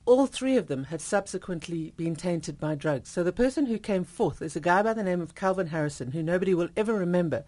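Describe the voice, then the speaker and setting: A woman with a South African accent presenting a radio sports news bulletin in a brisk, crisp manner. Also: on the low side, animated, mellow, no room sound. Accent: South African English. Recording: dry studio mic.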